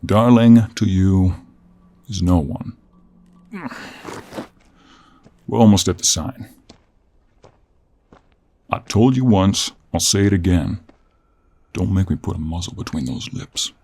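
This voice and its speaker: A man playing a gruff, teasing cowboy monster hunter with a Western American drawl and a gravelly, husky voice, in an intimate audio roleplay. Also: deep voice, a little slow, long pauses, darkish tone. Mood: stern, guarded.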